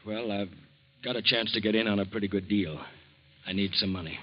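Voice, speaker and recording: A man's voice: on the low side, steady tone, brisk, natural voice. A man playing a meek, henpecked husband. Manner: hesitant and subdued, turning bitter. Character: meek, henpecked middle-aged failed artist husband. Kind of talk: 1940s radio acting. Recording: dull old radio broadcast recording.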